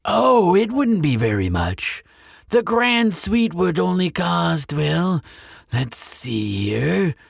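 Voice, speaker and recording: Demon front-desk clerk for an audio roleplay, male actor: lilting, creaky delivery, courteous salesman with a sly, coaxing streak. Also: high-pitched, big pitch swings, dry recording, studio quality, full character voice. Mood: coaxing, reassuring. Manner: unhurried, polite, coaxing salesmanship. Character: smooth-talking male demon hotel receptionist, courteous and slyly persuasive. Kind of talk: scripted audio roleplay addressed to the listener.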